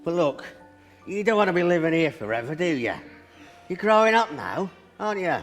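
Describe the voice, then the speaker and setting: A man's voice: high voice, very animated, fairly quick, fairly bright tone, heavily acted. A man playing a bumbling, eager, well-meaning magic helper in a stage pantomime, hesitant and coaxing. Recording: hall reverb with music, live stage.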